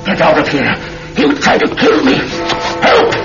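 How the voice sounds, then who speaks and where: An actor playing an evasive, arrogant villainous doctor in a melodramatic radio drama. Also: male voice, on the high side, wildly swooping pitch, slow, creaky, full character voice. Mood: panicked. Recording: dull radio sound with music.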